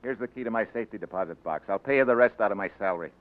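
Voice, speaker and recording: An American actor playing a rough, slangy crook turned stubborn governor in a 1940s radio drama. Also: male voice, fairly low pitch, racing, close to an everyday voice. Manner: gruff, slangy, tough-guy delivery. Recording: muffled, faintly noisy 1940s radio recording.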